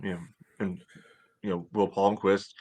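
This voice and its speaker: A man in his thirties giving casual sports analysis in a measured, conversational way as a podcast co-host on a video call from home. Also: deep voice, little pitch movement, a little mellow. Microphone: headset on video call.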